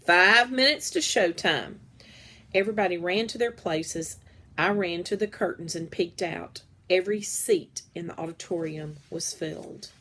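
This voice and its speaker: Female storyteller for a children's novel read-aloud, expressive, acts out the dialogue. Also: lowish voice, normal speed.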